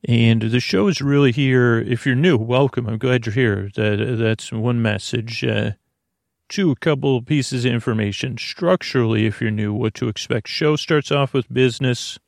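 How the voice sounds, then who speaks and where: A man with a creaky voice hosting a bedtime podcast in a soothing, meandering monologue, halting and stammering through false starts. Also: mid-range voice, no echo, clean studio sound. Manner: lulling, soothing. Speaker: podcast host.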